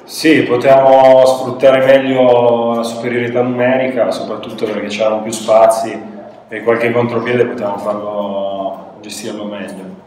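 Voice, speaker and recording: A man's voice: mid-range voice, normal speed, slight background noise. A young man, a football player, answering questions at a post-match press conference in a plain, matter-of-fact way. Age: young adult. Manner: flat, matter-of-fact, even. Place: press room with a sponsor backdrop. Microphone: table mic on a stand. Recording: echoey room, mic at a distance.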